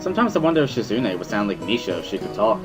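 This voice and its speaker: A man reading first-person visual novel narration in a dramatic, expressive storytelling manner. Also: fairly low pitch, racing, over music.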